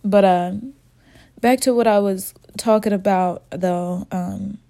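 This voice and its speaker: Casting: woman podcast host, slow unhurried delivery, slightly sniffly from a cold, candid and informal. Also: medium pitch, steady tone, vocal fry, dark tone. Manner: candid, informal, talks straight to listeners as y'all. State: a little sick, sniffly. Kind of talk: spiritual podcast monologue.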